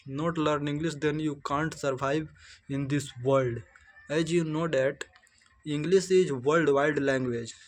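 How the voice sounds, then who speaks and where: Young indian guy, hindi native, talks real slow n halting in english, keeps restarting, voice kinda high. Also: a little mellow. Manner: halting, slow, frequent restarts and self-corrections. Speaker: English learner vlogger. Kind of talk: unscripted practice monologue.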